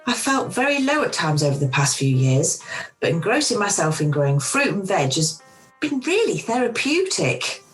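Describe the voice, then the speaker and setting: A woman playing an anxious, lively middle-aged restaurateur. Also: medium pitch, normal speed, natural voice, poor audio. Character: warm, anxious middle-aged restaurateur woman, self-doubting food lover. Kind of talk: amateur radio play acting. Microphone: video call. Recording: home video-call recording with music.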